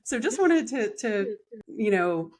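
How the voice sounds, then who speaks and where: A woman's voice: mid-range voice, sing-song, slow pace, darkish tone, low-quality recording. A woman hosting a podcast interview, a mother chatting in a friendly, easygoing way. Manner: friendly, chatty, with light laughter. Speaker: podcast host and parent.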